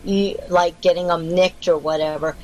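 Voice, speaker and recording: A woman's voice: on the low side, steady tone, moderate pace, darkish tone, poor audio. Rambling woman with a faltering voice. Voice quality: voice condition that makes it falter. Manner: rambling, explanatory, with many restarts. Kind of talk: casual chat.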